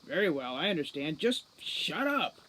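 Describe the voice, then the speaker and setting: A woman's voice: deep voice, big pitch swings, normal speed, a bit bright, a little hiss or hum, low-quality recording. A woman reading a storybook part as a huge male bodyguard, curt, commanding and dry. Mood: commanding, impatient.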